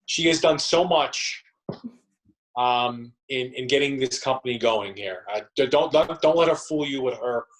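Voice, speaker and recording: A man talking casually as an interview guest, halting and often repeating his words and phrases. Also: mid-range voice, low-quality recording. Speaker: interview guest, theater company co-founder.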